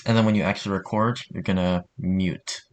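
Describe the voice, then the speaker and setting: A man with a fairly low voice walks through a tutorial step by step. He speaks informally and offhand, like someone casually showing a friend how it is done. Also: fairly even intonation, fairly quick. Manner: informal, offhand, mildly sweary. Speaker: tutorial narrator.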